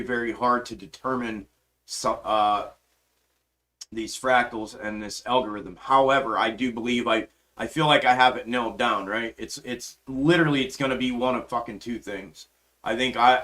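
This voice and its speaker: A man's voice: mid-range voice, fairly bright tone. Profane, emphatic stock-stream host, man. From grew up in western Pennsylvania, adult life in the South. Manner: profane, emphatic, restless, trails off while working charts. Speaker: stock market livestream host. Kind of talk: casual live stream chat and chart commentary.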